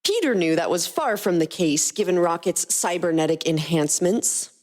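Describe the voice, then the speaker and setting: A woman's voice: lowish voice, lively intonation, moderate pace, darkish tone. A woman reading scripted narration for a fan fiction story.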